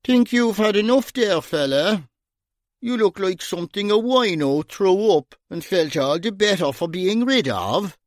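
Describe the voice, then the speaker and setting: A man putting on a blunt tough guy who talks loud and sharp, pitched well above a plain narrating voice. His lines are cutting and scolding, and they swing up and down. The sound is close and dry. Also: lively intonation, measured pace, dry recording. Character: blunt, forceful Dublin man in his prime.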